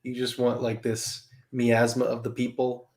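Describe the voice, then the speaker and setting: A man in a casual group discussion presses his points with probing, challenging questions. His voice sits at an ordinary middle pitch. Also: monotone, measured pace, a little mellow. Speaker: podcast guest.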